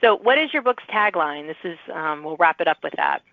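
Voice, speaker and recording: A woman's voice: deep voice, expressive, a little fast, dry recording. A woman hosting a podcast, conversationally interviewing authors about their books. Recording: muffled narrow-band radio-like call audio.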